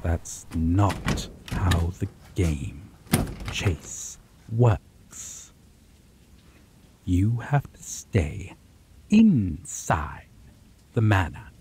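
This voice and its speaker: Ancient male vampire, played by a man for ASMR roleplay: lowish, extremely slow, predatory and courtly, breathy theatrical phrasing, rain and thunder behind. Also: very animated, very noisy, heavily acted. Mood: playful, menacing.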